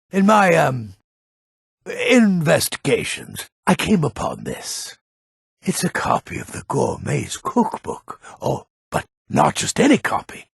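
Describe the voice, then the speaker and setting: An actor playing a grumpy, world-weary old male assassin in a video game, with a gravelly, raspy voice and a scornful, sardonic, grumbling delivery. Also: mid-range voice, no room sound, clean studio sound, full character voice. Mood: smug, businesslike. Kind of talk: video game character dialogue.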